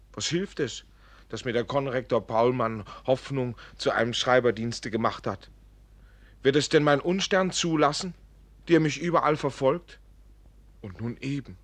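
A man's voice: medium pitch, fairly bright tone, close to an everyday voice. A man playing a clumsy, dreamy young student in a radio play, theatrical and prone to lament. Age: young adult. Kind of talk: German radio play acting.

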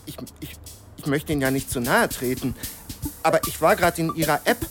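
An actor playing a nervous, polite foundation representative, hesitant and stammering, in a satirical radio play. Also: male voice, a little high, a little fast, over music, lightly acted. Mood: nervous, apologetic.

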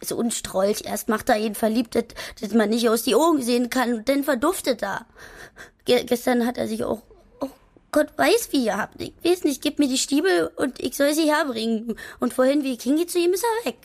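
A woman's voice: fairly high pitch, moderate pace, a little mellow. An actress playing a jilted, sulky young working-class woman in a radio drama, hesitant and stammering. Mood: hurt, indignant.

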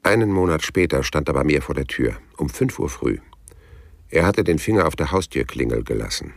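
An actor playing a terse, world-weary private detective with a gravelly voice and a dry, blunt manner in a radio crime drama. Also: male voice, a bass voice, lively intonation, a little fast, soft background music, full character voice. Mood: brooding narration. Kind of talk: radio crime drama acting and first-person narration.

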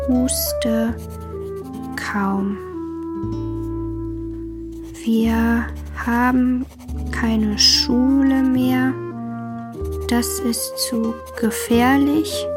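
A female actor playing a frank, wistful young girl reading a letter in a radio drama, halting and childlike. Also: fairly high pitch. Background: plucked guitar music.

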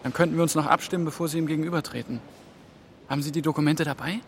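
A man's voice: on the high side, steady tone, racing, slight background noise, close to an everyday voice. An actor playing an anxious, impatient man caught up in a conspiracy in a German radio play, with a natural, muttering manner. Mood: irritated.

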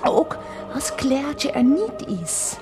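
An actress playing a naive, adoring German mistress in a radio play, plaintive and primly earnest, with a German accent. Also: female voice, high voice, sing-song, exaggerated voice. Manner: slow, halting, primly earnest, mixing in German words. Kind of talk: 1990s Dutch radio play acting. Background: classical choral music.

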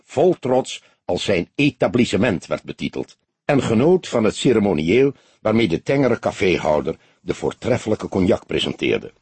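A male audiobook narrator reading a story. His voice is husky and recorded dry and close, with animated, wide-moving intonation in a scripted storytelling style. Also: lowish voice, dry recording.

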